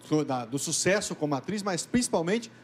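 A man in his forties speaking as a guest in a live TV studio interview, energetic and promotional, holding a handheld mic. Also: fairly high pitch, a little hiss or hum. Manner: energetic, promotional, fast-flowing. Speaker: event organizer guest. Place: TV news studio.

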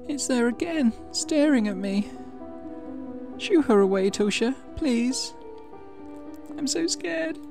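A man's voice: very high-pitched, animated, slow, creaky, pauses often, over music, pitched above the performer's own voice, full character voice. A man voicing a scared little sister in a horror game, plaintive and pleading. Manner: plaintive, whimpering. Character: frightened little girl, pleading younger sister.

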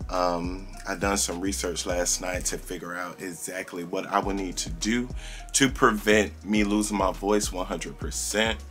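A man's voice: sits low, fairly even intonation, measured pace, a bit bright, soft background music. A young man vlogging casually to camera in a small room, chatty and sassy, his voice strained as if he is losing it. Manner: chatty, sassy, addresses viewers as girl and y'all. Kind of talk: casual vlog chat to camera.

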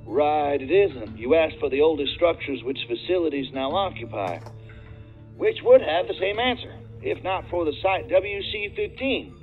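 A man's voice: fairly high pitch, lively intonation, moderate pace, faint music behind. A man playing a courteous, talkative corporate research contact, heard through a phone-style filter. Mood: courteous, chatty. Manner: chatty, polite, roundabout. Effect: phone or intercom filter. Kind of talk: naturalistic audio drama acting. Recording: tinny narrow phone-like line.

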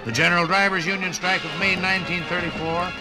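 Dramatic American radio narrator, highish male voice. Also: steady tone, music in the background, poor audio. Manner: dramatic, measured phrasing. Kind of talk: 1950s radio documentary scripted narration. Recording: 1950s radio broadcast on LP.

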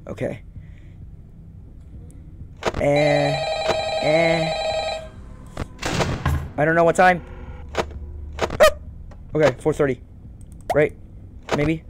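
A man's voice: a little high, level tone, drawn-out words, long pauses, very noisy. A young man giving casual let's-play commentary, muttering to himself and repeating phrases. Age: young adult. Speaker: gaming YouTuber. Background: game music.

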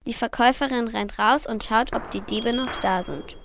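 A woman's voice: fairly high pitch, normal speed, creaky, a bit bright, slight background noise. A young girl narrating a crime radio play from a script. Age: child about 10 to 13.

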